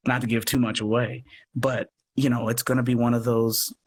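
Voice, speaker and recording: A man's voice: medium pitch, level tone, brisk. A man talking casually as an interview guest, earnest and effusive, often circling back over his phrases on a live call. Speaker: guest, radio play producer. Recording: noisy livestream call.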